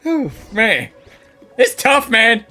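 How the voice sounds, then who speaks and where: A woman livestreamer giving casual, excitable, gushing reactions to a game in slangy speech. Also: fairly high pitch, extremely slow. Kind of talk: casual live reaction commentary. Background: game audio and music.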